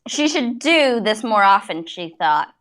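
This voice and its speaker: A woman's voice: medium pitch, very animated, measured pace, a bit bright. A woman giving a comic dramatic reading of fan fiction, theatrical and jokey, often breaking off to comment.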